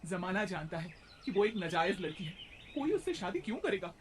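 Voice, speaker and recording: A woman's voice: on the low side, sing-song, brisk, slight background noise. A woman playing a bitter middle-aged stepmother in a moral-story cartoon, taunting and complaining. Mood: scornful taunting.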